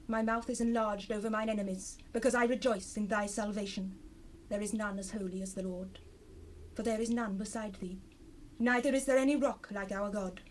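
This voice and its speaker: A woman's voice: medium pitch, natural voice. A woman playing a devout, sorrowful biblical wife in a dramatized scripture reading, speaking fervently in formal archaic language on an old cassette. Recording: noisy unprocessed 1970s cassette rip.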